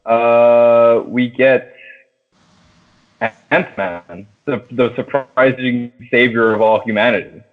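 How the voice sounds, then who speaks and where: A man's voice: mid-range voice, steady tone, slow. A man talks film casually as a podcast co-host. His speech is slangy and full of restarts, and the sound is thin and narrow, like a phone call.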